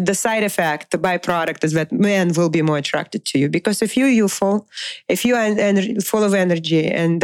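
A woman's voice: fairly low pitch, dry recording, studio quality. A middle-aged woman giving an informal, unscripted motivational talk as a dating coach, emphatic and repetitive, putting rhetorical questions to the listener.

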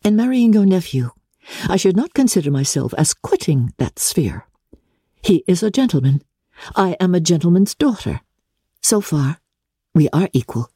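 A woman reading a classic novel aloud, voicing a proud, unintimidated young gentlewoman in a measured, firm, formal manner. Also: on the low side, sing-song, husky, no room sound, studio quality. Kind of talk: dramatic audiobook reading of classic literature.

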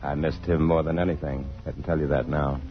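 Casting: middle-aged father torn in love, very low voice, halting and earnest, muffled vintage radio sound. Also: little pitch movement. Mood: tender. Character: troubled, tender married father torn between two loves. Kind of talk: 1950s radio drama acting. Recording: dull vintage radio broadcast, faint music behind.

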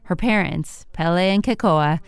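A woman reporter narrating a radio news feature in a measured, scripted delivery. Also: lowish voice, no room sound. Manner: measured, clear storytelling delivery.

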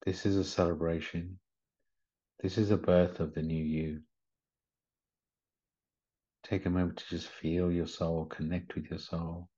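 A man leading a guided meditation in a gentle, soothing manner, with short unhurried instructions. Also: low-pitched, flat delivery, breathy edge. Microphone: video call. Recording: slightly muffled video call audio.

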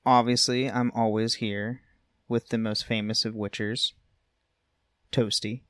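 A man's voice: fairly low pitch, flat delivery, measured pace, fairly bright tone, no echo. Upbeat man hosting a podcast. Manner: upbeat, joking banter. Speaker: podcast co-host. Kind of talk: casual podcast chat.

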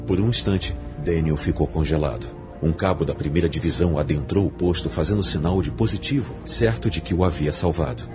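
A man with a Brazilian accent giving a solemn, measured, dramatic audiobook narration. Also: deep voice, music in the background.

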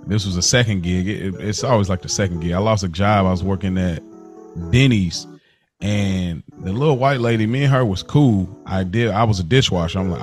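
An American man co-hosting a podcast, telling stories in casual, slangy chat and acting out quotes as he goes. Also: lowish voice. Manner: animated retelling with acted-out quotes, slangy. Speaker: podcast co-host.

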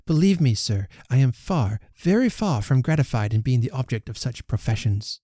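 A man reading the part of a proud young gentlewoman who firmly rejects a suitor, speaking crisply and emphatically. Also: medium pitch, very animated, moderate pace, dry recording, clean studio sound. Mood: cold reproach. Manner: crisp, emphatic, rising protest.

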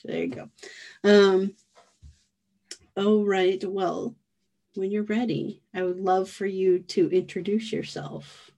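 Female podcast host, warm and mellow, friendly and encouraging with guests. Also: medium pitch, flat delivery, slow pace, long pauses. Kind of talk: podcast interview.

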